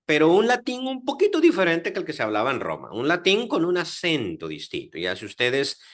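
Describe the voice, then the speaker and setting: A man with a Guatemalan accent gives a classroom lecture on scripture history. His pitch leaps and falls with lively emphasis, and he keeps checking that his listeners follow. His talk is full of fillers and restarts. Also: brisk. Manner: animated, with many fillers and rhetorical check-ins. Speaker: Bible teacher lecturing. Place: Dominican Republic, class audience.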